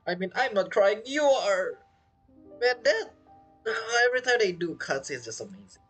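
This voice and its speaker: A man's voice: high-pitched, big pitch swings, moderate pace, a bit bright, breathy edge. A man giving casual, chatty gameplay commentary, reacting informally as he plays. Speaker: game streamer. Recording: game music behind voice.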